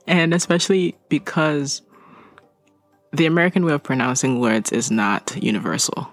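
A man's voice: on the high side, steady tone, normal speed, dry recording, soft background music. A man whose first language is not English, hosting a podcast. He reads out listener letters and breaks off for chatty, joking asides. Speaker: podcast host.

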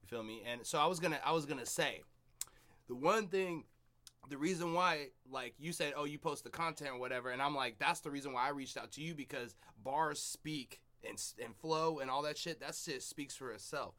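A man's voice: on the high side, fairly quick, bright, forceful tone. A man hosting a casual podcast interview, talking with enthusiastic, loose slang. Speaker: podcast host.

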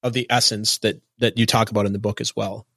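A man's voice: lowish voice, little pitch movement, fast talker, gravelly, fairly bright tone, no room sound, clean studio sound. A man hosting a podcast interview, steering the guest with repeated prompts and questions. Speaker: podcast host. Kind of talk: interview conversation.

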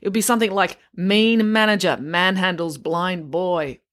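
A woman voicing a pedantic, complaining boy in a children's story, matter-of-fact and quick to protest. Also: on the low side, fairly even intonation, fairly bright tone, lightly acted.

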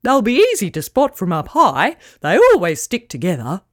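A woman's voice: mid-range voice, huge pitch swings, no room sound, clean studio sound. Woman voicing a haughty proud pelican lady. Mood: kindly. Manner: formal, slow and emphatic. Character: proud haughty female pelican, prim and dignified.

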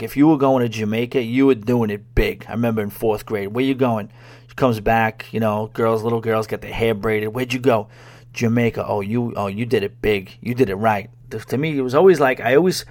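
A man hosting a solo podcast, talking casually in a wry, sarcastic way with frequent restarts. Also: medium pitch. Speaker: podcast host. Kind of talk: casual solo podcast talk about cruises.

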